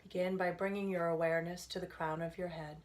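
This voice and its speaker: Low, even-voiced woman guiding meditation. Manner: soothing, gentle, unhurried phrasing with pauses. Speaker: guided meditation instructor. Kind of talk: guided relaxation meditation narration.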